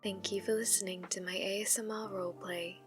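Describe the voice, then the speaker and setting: A woman's voice: mid-range voice, steady tone, a little fast, music in the background. A woman who makes ASMR roleplays, giving a scripted outro to her listeners.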